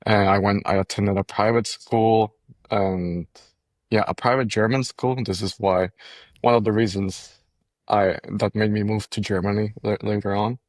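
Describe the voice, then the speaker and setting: A man's voice: lowish voice, steady tone, moderate pace, no room sound. A young man from Costa Rica, a podcast guest, telling his story in casual chat, often repeating words and laughing between thoughts.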